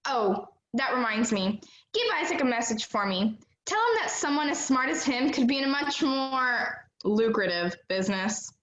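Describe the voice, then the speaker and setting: A woman's voice: fairly high pitch, lively intonation, normal speed, hardly any pauses, a bit bright, reverberant, dull audio, slight background noise. A woman in an amateur play acting a cocky, scheming teenage bank robber with a breezy, confident manner. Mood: smug, taunting.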